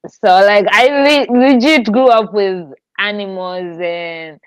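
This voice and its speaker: A woman hosting a casual podcast interview in an animated, informal, playful way. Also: mid-range voice, takes it slowly, smooth, no echo, low-quality recording. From Tanzania. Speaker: podcast host.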